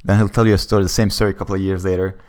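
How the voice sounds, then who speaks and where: A man from Lebanon, a comedian guest, telling stories in casual, animated, informal chat. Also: low-pitched, racing.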